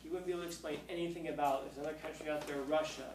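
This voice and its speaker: Man, 50s, high school teacher, lively lecture, distant mic, roomy classroom. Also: rapid-fire, a little hiss or hum. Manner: animated, acts out scenes with playful voices. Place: classroom, indoors. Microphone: far camera. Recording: echoey classroom from far off.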